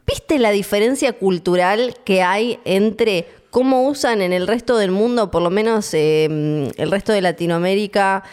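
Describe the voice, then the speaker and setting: A woman with a Rioplatense Spanish accent, co-hosting a podcast in casual chat and telling stories in a chatty, animated way. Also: medium pitch, a little slow, few pauses, clean tone. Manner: chatty, animated storytelling with acted-out quotes. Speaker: podcast co-host.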